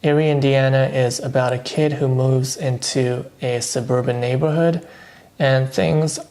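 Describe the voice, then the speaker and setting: A man with a gravelly, creaky voice chats on his own about what he's been watching. His delivery is flat and unhurried, low-key, with the odd dry aside. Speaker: vlogger reviewing streaming shows. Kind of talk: casual solo commentary.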